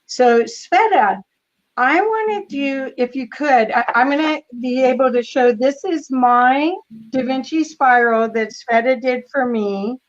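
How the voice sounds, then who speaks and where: A woman hosts over a dull, lo-fi video call, her voice a touch higher than most. She speaks slowly and haltingly, full of enthusiasm. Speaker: host. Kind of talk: casual video call chat.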